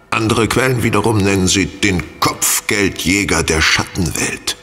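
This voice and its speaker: A man's voice: fairly low pitch, vocal fry, pushed and bright, heavily acted. An actor playing a smug, courteous old cardinal in an audio drama, savouring his words in an unctuous, calculating manner.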